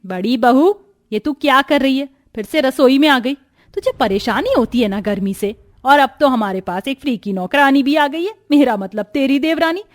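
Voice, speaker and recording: An imperious older mother-in-law from a family moral story, acted by a woman. Her voice sits fairly high. She scolds, taunts and orders people about with open scorn. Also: expressive, normal speed. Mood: bossy, taunting. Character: domineering, scornful older mother-in-law, sweet only to favourite daughter-in-law. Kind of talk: dramatised moral story acting.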